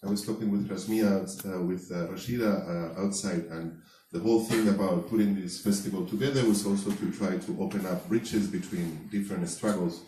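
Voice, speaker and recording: A man with a low, mellow voice opens an evening event, thanking hosts and partners. He hesitates often, pausing on fillers. He is recorded from a distance in a roomy hall with a faint hum behind. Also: fairly even intonation. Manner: hesitant, many fillers and restarts. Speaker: event organizer, host. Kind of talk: introductory remarks at an event. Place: event space before an audience. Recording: echoey hall, distant mic, faint noise.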